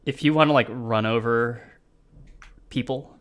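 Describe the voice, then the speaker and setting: A man co-hosting a game development stream, talking through ideas in a rambling, halting way on a video call from a home room. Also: medium pitch, fairly bright tone, clean tone. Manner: rambling, halting restarts, laughs as he talks. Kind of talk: casual chat during a dev stream.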